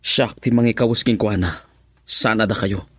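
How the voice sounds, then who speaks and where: A man's voice: lowish voice, steady tone, normal speed, a bit bright, breathy edge, no echo. A man with an Ilocano Filipino accent playing a stubborn, exasperated young son who protests earnestly in a radio drama. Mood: defiant.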